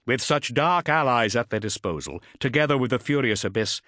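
A man voicing a stern, resolute warrior captain in an audiobook, speaking formally with measured, emphatic oratory. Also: medium pitch, animated, sharp tone, no room sound, clean studio sound, voice pushed up. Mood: grave, resolute.

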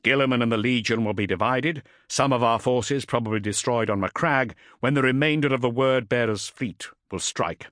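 A man voicing a stern, resolute warrior captain in an audiobook, speaking formally with measured, emphatic oratory. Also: medium pitch, a bit bright, no echo, studio quality, voice pushed up, lightly acted. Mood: grave, resolute.

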